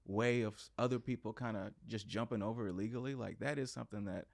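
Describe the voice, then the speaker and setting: A man's voice: lowish voice, steady tone, fast talker, vocal fry, no echo, studio quality. A man hosting a podcast, asking follow-up questions in a joking, stop-start way. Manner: restarts, jokey, asks follow-up questions. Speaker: podcast host. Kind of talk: casual podcast interview.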